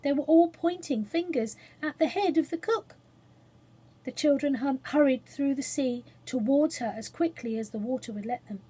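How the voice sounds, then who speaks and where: A woman reading a children's story aloud as an expressive storyteller. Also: high voice, moderate pace.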